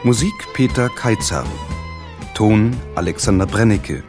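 A man reads radio drama credits formally. His voice is low and relaxed, unhurried, with clear plucked-string music behind. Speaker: credits announcer.

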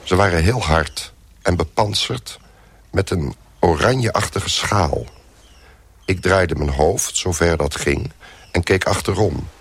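Very deep, slow dazed, doomed man. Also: breathy edge, exaggerated voice. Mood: dazed dread. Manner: slow, brooding monologue. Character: man turned into a lobster, dazed, lonely, dying. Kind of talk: first-person narration in a radio play. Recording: faint background noise.

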